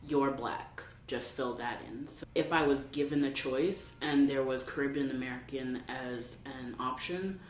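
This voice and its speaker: A woman's voice: a bass voice, a little slow, hardly any pauses. A young woman in an interview, earnestly telling a story from memory. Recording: roomy with faint hiss.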